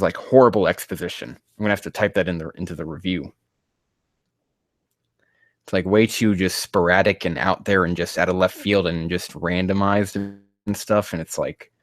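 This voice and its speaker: A man's voice: deep voice, normal speed, dry recording, studio quality. A man casually reading aloud and commenting on a live stream, rambling, swearing and sounding exasperated as he mocks the writing.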